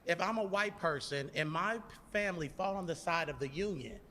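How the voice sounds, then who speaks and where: A man's voice: a little high, a little fast, sharp tone, long reverb tail, slight background noise. A man in his thirties in a heated political debate, speaking emphatically and insistently. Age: 30s. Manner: emphatic, insistent, pushes back hard. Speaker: debate guest.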